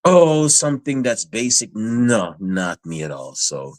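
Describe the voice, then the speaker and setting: A man's voice: on the low side, very animated, a little slow, no room sound, clean studio sound. A man from Guyana hosting a casual podcast interview, hyped in his introductions and rambling with many fillers. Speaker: podcast host.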